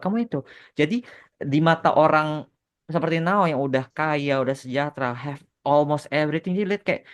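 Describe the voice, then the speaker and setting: An Indonesian man in a casual podcast Q&A, explaining things with repeated words for emphasis and slipping English phrases into his talk. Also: fairly high pitch. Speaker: podcast host and animation author answering questions.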